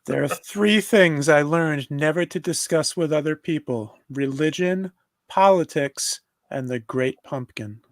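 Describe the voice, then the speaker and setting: A man voicing an earnest, gentle little cartoon boy, solemn and sincere, at a live table read. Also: a little high, a little slow, no room sound.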